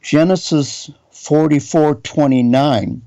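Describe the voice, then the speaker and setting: A man's voice: a little high, slow pace, gravelly, dark tone, dull audio. A man preaching a Bible teaching sermon, reading scripture aloud and spelling out Hebrew words.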